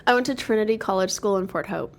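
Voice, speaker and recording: A woman's voice: mid-range voice, fast, slight background noise. Upbeat middle-aged woman guest. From Toronto, Canada. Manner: warm, upbeat, many ums. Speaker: interview guest, marketing executive. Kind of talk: casual conversation.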